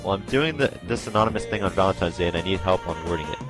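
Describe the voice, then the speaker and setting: Low-voiced young man hosting a podcast. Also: animated, racing, over music. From Oregon, USA. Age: 20s. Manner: chatty, joking, reads listener posts aloud. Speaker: podcast host. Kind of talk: casual group podcast chat.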